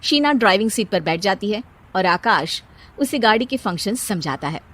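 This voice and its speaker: A woman's voice: medium pitch, lively intonation, normal speed, a bit bright. A woman reading scripted narration for a cartoon story.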